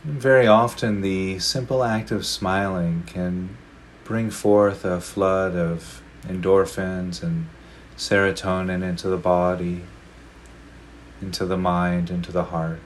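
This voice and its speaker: A man leading a guided meditation, speaking slowly and gently with long pauses between phrases. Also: low-pitched, steady tone, a little hiss or hum. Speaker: meditation teacher.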